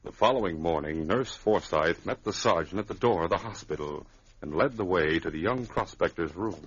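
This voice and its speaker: A man narrating a radio adventure drama as a measured storyteller in a 1940s scripted style. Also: low-pitched, expressive, slightly rough. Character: narrator of a northern adventure tale. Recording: muffled vintage radio recording.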